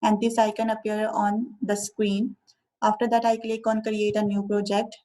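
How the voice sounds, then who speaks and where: Flat-toned Urdu-speaking woman explaining software. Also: mid-range voice. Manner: slow, careful step-by-step explaining. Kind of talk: screen-recorded software tutorial.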